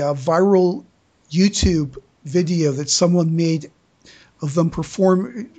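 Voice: mid-range voice, a little mellow. Podcast host just chatting, kinda stammery, keeps repeating little words. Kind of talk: casual fan podcast discussion.

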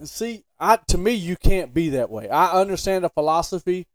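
A man with a slightly high voice co-hosts a casual sports podcast. He is opinionated and emphatic, putting his views bluntly in back-and-forth debate. The sound is dry, with no room echo. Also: big pitch swings, normal speed.

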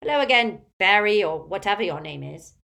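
A woman's voice: mid-range voice, expressive, brisk, creaky, sharp tone, voice pushed up. A woman voicing a haughty, spiteful, tipsy old witch aunt in a storybook, cackling and screechy, with an emphatic gossipy manner. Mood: imperious.

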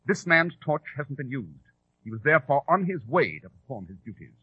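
An actor playing a shrewd, sardonic English gentleman detective with precise, lofty diction in a 1940s radio drama. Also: mid-range voice, big pitch swings, a little fast, slightly rough, no room sound. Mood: brisk, lofty. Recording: dull, muffled old radio recording.

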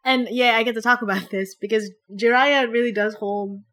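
A lively young woman from the Philippines speaks with an American accent, her voice sitting a little high. She talks bluntly and casually, swearing freely as she co-hosts a chat about a TV show. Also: normal speed. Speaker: podcast co-host.

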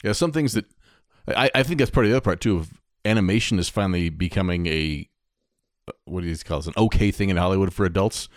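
A man's voice: low voice, fast talker, no echo, studio quality. A middle-aged man chatting casually as a podcast co-host about things he follows. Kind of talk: casual conversation about movies and games.

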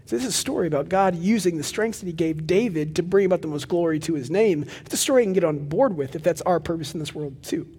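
A young man preaching a sermon as pastor of a church, with a loud, projecting voice and animated storytelling asides. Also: a little high, fast talker, hardly any pauses, breathy edge, big-room sound. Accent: Texan. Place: large church hall with live audience.

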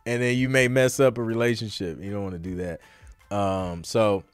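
Low-voiced man in casual podcast chat. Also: level tone, normal speed, smooth, dry recording, faint music behind. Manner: informal, slangy, rambling conversational delivery. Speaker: podcast host and music producer.

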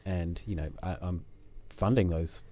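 Low-voiced New Zealand man chatting. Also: fairly even intonation, a little slow, mellow, muffled recording, a little hiss or hum. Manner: chatty, self-deprecating, lots of fillers and restarts. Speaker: podcast host. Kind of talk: casual chat. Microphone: desk microphone.

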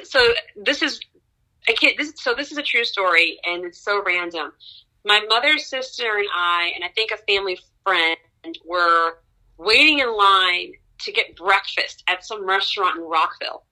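A young adult woman, an opera singer, telling stories from her career in an interview with lively laughs and excited rises in pitch. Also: moderate pace, rough recording. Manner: animated storyteller, laughs often, pitch jumps up in excitement. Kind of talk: conversational interview storytelling.

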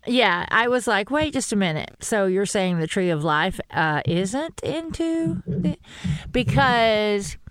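Chatty woman, smooth dry voice. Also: mid-range voice, slow, no echo, low-quality recording. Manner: chatty, animated storytelling with rising exclamations. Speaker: podcast co-host. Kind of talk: casual fan discussion chat.

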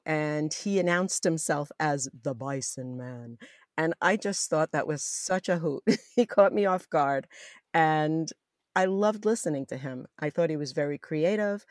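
Deep-voiced middle-aged woman, close and dry. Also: a little mellow, no echo. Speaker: interviewee, former college radio jazz announcer. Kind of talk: reminiscing in an oral history interview.

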